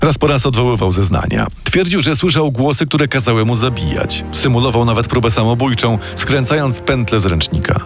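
A man narrates a true-crime story in a measured, dramatic radio style. His pitch moves a lot, and music plays clearly beneath his voice. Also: lively intonation. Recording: narration over loud music.

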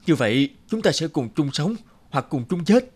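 A man playing a scheming, lazy, cowardly elder brother in an animated folk tale, feigning weariness and stammering when scared. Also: on the high side, normal speed, slightly rough. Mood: earnest persuasion.

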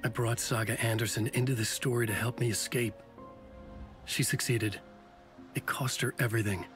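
An actor playing a guilt-ridden middle-aged writer, narrating his nightmare gravely and introspectively in a video game. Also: medium pitch, fairly even intonation, normal speed, over music, lightly acted. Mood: brooding. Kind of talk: brooding noir-like scripted inner narration.

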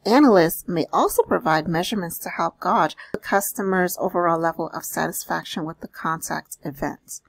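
A woman doing an explanatory voice-over, reading out and paraphrasing listings in a deliberate, instructional manner with small check-ins. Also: on the low side, creaky, dry recording. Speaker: host of a job-leads channel.

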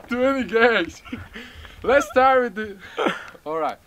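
A young man from Turkey with a Turkish accent, speaking halting English outdoors and laughing his way through retakes of a gun-safety demo. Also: extremely high pitch, animated, background noise. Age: young adult. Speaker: first-time shooter presenting a gun. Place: outdoors in a gravel field by a truck. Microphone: camera mic from a few meters.

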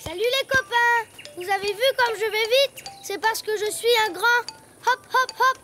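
A performer voicing a cheeky, excitable little boy donkey in a cartoon, with a childlike voice and a sing-song, self-important way of talking. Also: moderate pace. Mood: excited. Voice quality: high, childlike. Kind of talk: cartoon voice acting. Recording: music behind the voice.

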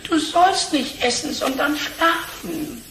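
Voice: sits high, takes it slowly, gravelly, bright, forceful tone, heavily acted. An actor playing a grumpy, timid, easily outraged male character in a children's cartoon audio play, hesitant and fussy. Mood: nervous, annoyed. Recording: roomy, noisy old cassette.

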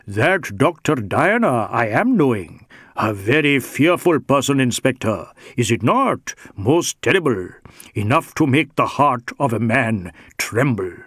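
A man's voice: mid-range voice, very animated, a little slow, a bit bright, breathy edge, dry recording, clean studio sound, exaggerated voice. A man voicing a big, brawny Sikh rogue in an audiobook, sly and teasing, with wheedling mock deference. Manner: wheedling mock deference, Indian English phrasing. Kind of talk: audiobook character dialogue.

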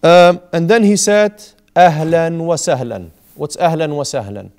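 High-voiced man teaching a class. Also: measured pace, darkish tone. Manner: patient, repeats and explains each word. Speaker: Arabic teacher. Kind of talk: classroom language lesson. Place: small indoor classroom with whiteboard.

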